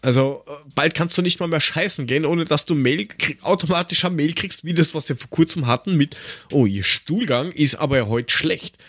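A man's voice: a little high, normal speed, hardly any pauses, a bit bright, dry recording. An Austrian man chatting casually as a podcast co-host, rambling and grumbling in a sarcastic, coarse-mouthed way.

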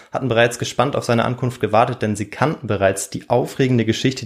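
A man with a mid-pitched voice hosts a history podcast. He explains and narrates in a semi-scripted storytelling style, recorded indoors. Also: gravelly. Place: warm indoor room.

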